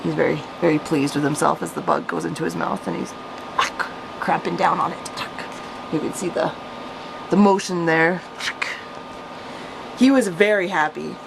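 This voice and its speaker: Woman w lowish creaky voice doing amused storytime commentary, pokes fun at herself, noisy room hum. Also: normal speed, long pauses. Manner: self-mocking, chuckly, animated when reading. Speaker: storyteller, vlogger. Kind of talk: reading own childhood story with amused casual commentary.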